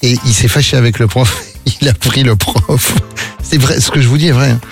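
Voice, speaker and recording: A medium-pitched man hosting a morning radio show, chatting and telling stories with lots of small hesitations. Faint music plays beneath his voice on the broadcast. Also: normal speed. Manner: chatty storyteller, hesitant fillers. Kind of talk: casual radio banter.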